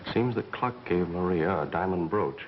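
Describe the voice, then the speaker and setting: A man's voice: deep voice, lively intonation, normal speed. An actor playing a sly man passing on tips, in 1930s film style. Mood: sly, casual. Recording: dull vintage film soundtrack, faint noise.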